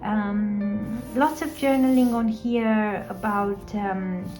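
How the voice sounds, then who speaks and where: A woman whose first language is Romanian, talking casually and reflectively through her art journal pages, with many pauses and ums. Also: mid-range voice, steady tone, darkish tone, soft background music, rough recording.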